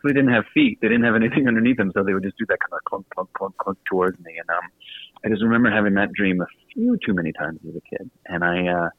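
An American man from Virginia, a guest author telling stories casually in an interview, chatty, halting and self-deprecating. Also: deep voice. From Virginia, United States. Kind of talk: casual storytelling in a radio interview. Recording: tinny phone-like line with some room echo.

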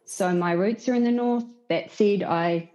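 A woman's voice: medium pitch, a little slow, warm tone. A woman with a New Zealand accent chatting as a design director guest in a casual interview. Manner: chatty, laughs easily. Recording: dull lo-fi sound.

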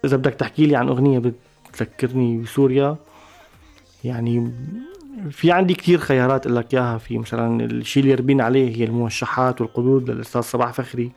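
A man with a Syrian Arabic accent, a musician giving a thoughtful interview, pausing and restarting mid-phrase. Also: mid-range voice, steady tone, creaky, a little mellow, faint music behind. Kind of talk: reflective interview talk.

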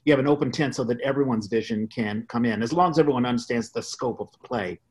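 Medium-pitched man hosting a conversation. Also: fast, breathy edge. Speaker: podcast host. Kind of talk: casual interview chat.

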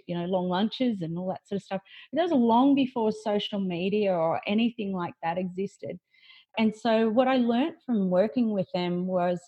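A woman's voice: medium pitch, breathy edge, muffled recording. An Australian-accented woman chatting informally as a podcast guest, telling stories in a casual way. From country New South Wales, Australia. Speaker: podcast guest, branding expert. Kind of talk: casual conversational storytelling.

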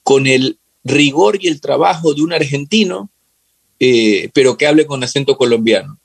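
A man's voice: medium pitch, lively intonation, normal speed, vocal fry, husky, dry recording. A man with an Ecuadorian accent talking casually and reflectively as an interview guest, searching for words and often repeating phrases. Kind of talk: casual, reflective football discussion.

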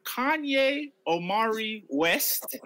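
A man's voice: sits high, drawn-out words, no room sound. A man born in Uganda co-hosting a casual chat, reading viewer comments and talking emphatically. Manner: animated, emphatic, laughs often. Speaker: livestream co-host.

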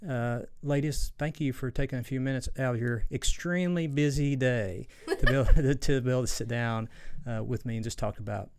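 A man hosting a podcast interview, talking in a halting way with many fillers. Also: mid-range voice, a little mellow, clear, resonant tone, dry recording. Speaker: podcast host. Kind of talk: casual interview.

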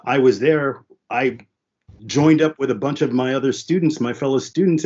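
A man's voice: medium pitch, a little mellow. Guy whose first language was creole and french, talking earnestly, lots of uh and you know, kinda muffled lo-fi audio. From United States (New York and New Jersey). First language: French and Haitian Creole. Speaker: panel guest. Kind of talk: candid personal storytelling.

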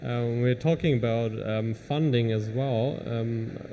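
A man's voice: medium pitch, a little slow, noisy background. A man from Germany with a German accent, speaking as a panelist in a panel discussion, hesitant with many fillers.

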